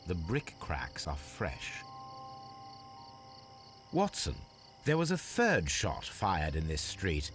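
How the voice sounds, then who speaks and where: An actor playing a formal, precise gentleman detective with a British accent in a video game. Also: deep voice, huge pitch swings, slow, pauses often, soft background music, exaggerated voice. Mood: investigative. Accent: British English. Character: brisk, commanding male gentleman detective.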